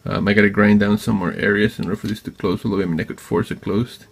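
A man hosting a craft tutorial, talking informally and haltingly as he thinks aloud while working. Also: on the low side, fairly even intonation, creaky, breathy edge. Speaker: DIY maker and video host. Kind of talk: casual hands-on tutorial narration. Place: indoor craft workbench.

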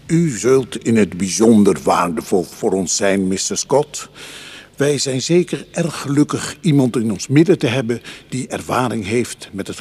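An actor playing a formal, courteous committee chairman in a radio drama, with a very husky voice and pompous, measured delivery. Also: mid-range voice, a little mellow. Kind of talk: Dutch radio drama acting.

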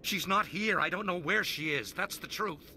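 A man's voice: sits high, normal speed, pushed and bright, a little hiss or hum. A man playing a cowardly crime boss in a video game, pleading and defensive in short urgent bursts. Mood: panicked, pleading. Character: cowardly crime boss begging for his life.

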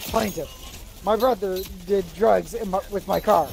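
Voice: mid-range voice, normal speed, creaky, darkish tone. A person reading a comedy script aloud in a casual group setting. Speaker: script reader.

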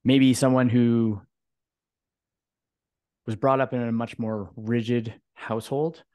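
A man in his thirties hosts a podcast and asks his guest questions at a measured pace, restarting now and then with "uh". His voice sits at an ordinary middle pitch for a man. He speaks into a studio mic in a home room. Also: level tone, darkish tone. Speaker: podcast host. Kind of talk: interview questions. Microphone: studio mic with headphones.

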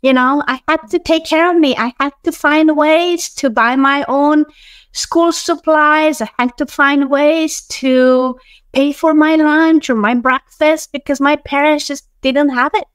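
A woman's voice: high voice, steady tone, a little slow, no echo. A middle-aged woman, telling her own story casually as an interview guest on a video call. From Hungary, born in Romania. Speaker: interview guest, bookkeeping business owner. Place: small room, indoors.

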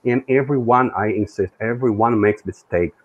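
A middle-aged man from Brazil, a native Portuguese speaker, talking hesitantly on a video call with many pauses. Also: medium pitch, narrow, tinny audio. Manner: hesitant, many fillers and restarts. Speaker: co-host, language learner. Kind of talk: casual video call chat. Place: room indoors.

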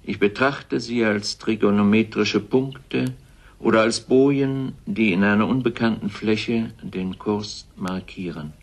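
A man giving a measured literary reading in a sober, deliberate way. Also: lowish voice.

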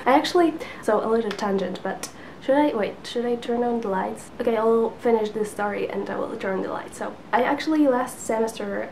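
A woman's voice: medium pitch, a little mellow, a little hiss or hum. A woman in her twenties whose first language is Polish, chatting to the camera from her couch with lots of fillers and little laughs. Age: 20s. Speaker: book vlogger. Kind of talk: casual chat to camera. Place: living room couch with lamp, indoors. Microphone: camera in front at sitting distance.

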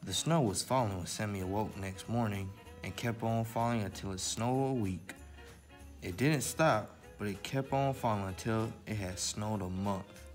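Low-pitched man hosting a story time. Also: moderate pace, soft background music. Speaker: library story time host. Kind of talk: read-aloud storytelling and casual craft instruction for children.

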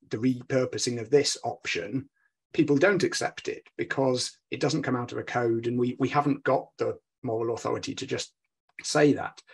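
A man in his forties makes an earnest, persuasive case on a video call from a home study. His voice is gravelly and a little husky, at a middle pitch. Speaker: engineering lecturer, panelist. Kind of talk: panel discussion.

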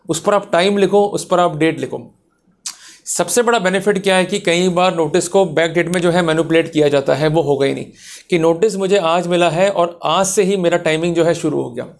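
Higher-pitched Indian man, instructive. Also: darkish tone. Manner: emphatic, didactic, repeats key points. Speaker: lawyer giving a lecture. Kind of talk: explanatory legal lecture to camera. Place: small office, indoors.

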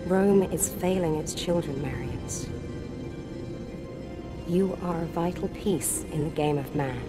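An actress playing a mysterious, all-knowing goddess figure in a game cutscene, speaking in a measured, solemn, prophetic way. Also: female voice, low voice, loud music behind the voice. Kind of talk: video game cutscene acting.

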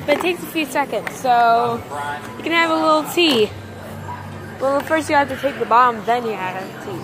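A young girl with a slightly raspy voice, chattily reviewing toys on a handheld phone in a big store, often distracted. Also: high-pitched, normal speed, over music. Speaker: vlogger. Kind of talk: casual toy review vlog. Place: large indoor retail store. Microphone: handheld phone camera.

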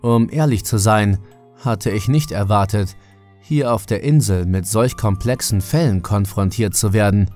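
A man narrates a horror audiobook in the first person. His voice is on the low side and his pace is very slow and measured, with music playing underneath. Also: a little mellow.

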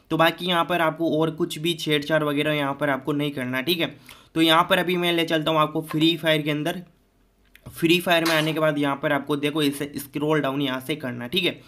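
Young male, slightly high voice; informal tech YouTuber walking viewers through steps. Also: fairly bright tone. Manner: informal, repeats filler phrases, addresses viewers as friends. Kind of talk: casual step-by-step phone tutorial.

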